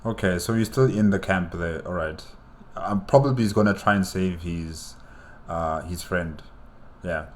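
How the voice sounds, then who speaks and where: A man's voice: low voice, a little slow. A man hosting a reaction video, commenting casually and thinking aloud with curious questions. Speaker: reaction channel host.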